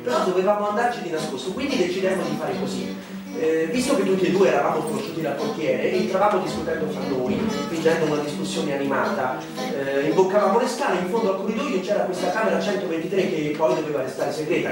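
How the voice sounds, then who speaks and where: A man's voice: a little high, natural voice. An actor playing an elderly, wry newspaperman who recounts memories candidly and hesitantly in a theatrical monologue. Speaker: stage actor. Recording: echoey stage, distant mic, music behind.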